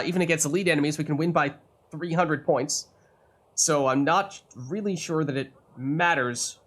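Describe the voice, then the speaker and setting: Strained-voiced man commenting on a card game. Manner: analytical, lively swings in pitch. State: voice strained, recovering. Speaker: gaming YouTuber. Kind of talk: let's play commentary.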